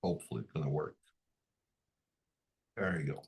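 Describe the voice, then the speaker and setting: A man with a low-pitched, somewhat rough and husky voice leads an informal lesson. He speaks conversationally and reassures his listeners as he explains. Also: normal speed. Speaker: instructor training interpreters.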